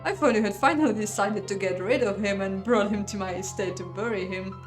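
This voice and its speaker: A woman's voice: medium pitch, fairly quick, natural voice. Lady doing a smug dismissive aristocrat guy, story game, teasing, music behind. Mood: exasperated, sarcastic. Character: arrogant, mocking aristocratic fiancé with a rifle.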